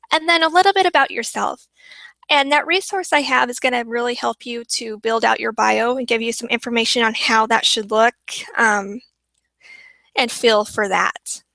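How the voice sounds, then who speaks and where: A woman's voice: on the high side, creaky, pushed and bright, no echo. A woman presenting a marketing webinar, upbeat and repeating points for emphasis, her voice worn and going. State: losing her voice.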